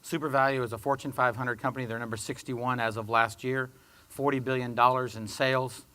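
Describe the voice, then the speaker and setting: A man introducing himself as a panelist, a company sustainability director, giving a steady, businesslike presentation. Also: mid-range voice, fairly quick.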